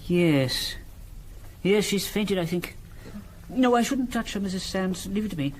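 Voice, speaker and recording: Very high-pitched, brisk British gentleman. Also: animated, slight background noise. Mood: grave, brisk. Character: brisk, matter-of-fact English gentleman witness in a thriller. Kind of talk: British radio drama acting.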